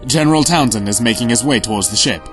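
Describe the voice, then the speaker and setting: A man's voice: mid-range voice, animated, fast talker, a bit bright, soft background music, natural voice. A man playing a formal military aide in an audio drama, reporting in a clipped, dutiful way. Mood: urgent. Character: crisp male military aide reporting to the prime minister.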